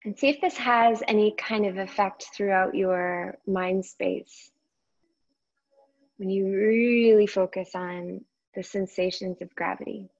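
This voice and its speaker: Slow-paced woman guiding meditation, muffled audio. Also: mid-range voice, steady tone. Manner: gentle, unhurried, with long pauses between short phrases. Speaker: yoga and meditation teacher. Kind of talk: guided meditation instruction.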